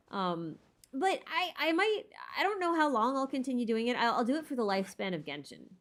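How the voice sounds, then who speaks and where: A woman's voice: on the high side, big pitch swings, moderate pace. A woman streamer casually answering viewer questions unscripted, thinking aloud in a rambling, self-deprecating way.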